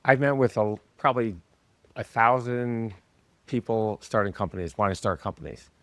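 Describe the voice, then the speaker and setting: A man hosting an interview podcast, asking measured, direct questions. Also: lowish voice, a bit bright, no room sound. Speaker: podcast host. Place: professional studio.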